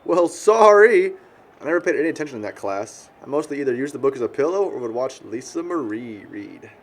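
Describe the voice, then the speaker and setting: A man's voice: medium pitch, sing-song, fairly quick. A young American man acting as a crude, dim, defensive slacker in film dialogue. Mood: confused. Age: young adult. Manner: blunt, defensive. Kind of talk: naturalistic amateur film dialogue.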